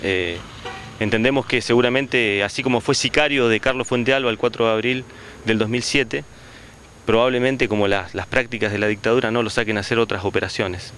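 Man in his 40s, union spokesman, bright tense voice, street mic, faint noise. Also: mid-range voice, fairly even intonation. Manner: denouncing, measured. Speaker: union leader. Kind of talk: press statement. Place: outdoors on a town street. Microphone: handheld reporter mic.

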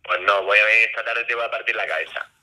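A man's voice: lowish voice, rapid-fire, sharp tone. A man with an accent from Spain on a telephone, arguing in a blustering, repetitive, slangy way. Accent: Spain Spanish. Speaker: prank call victim. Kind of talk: heated phone argument. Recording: noisy phone call.

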